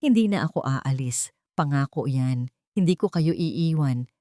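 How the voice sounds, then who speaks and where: Lady narrator as soothing nanny, gentle, reassuring, audiobook drama, dry close sound. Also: extremely low pitch, animated, unhurried, no room sound. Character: devoted, soothing young nanny, female.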